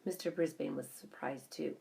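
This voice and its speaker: A woman's voice: sits low, normal speed, creaky, dark tone. A woman voicing a small, observant pet hamster who tells a children's story in chatty asides. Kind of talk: first-person children's storybook narration.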